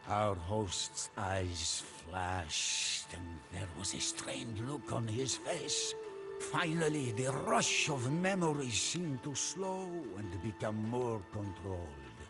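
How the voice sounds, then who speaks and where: A man playing a soldier who recounts a mysterious tale as a solemn, theatrical, measured game narrator. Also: on the low side, very animated, exaggerated voice. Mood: intrigued. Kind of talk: dramatic scripted storytelling. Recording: studio narration under prominent music.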